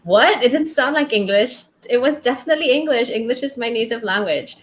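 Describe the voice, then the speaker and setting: A woman from Trinidad with a light Trinidadian accent, hosting a casual book club chat and telling lively stories with laughs and acted-out quotes. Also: on the high side, brisk. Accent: light Trinidadian, trained toward neutral. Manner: animated storyteller, laughs often, acts out quotes.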